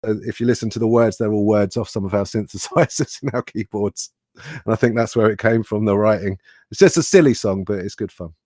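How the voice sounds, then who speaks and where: A man's voice: lowish voice, fairly quick, a little mellow, no room sound, clean studio sound. A man chatting casually as a guest musician, easygoing and jokey. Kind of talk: casual interview chat.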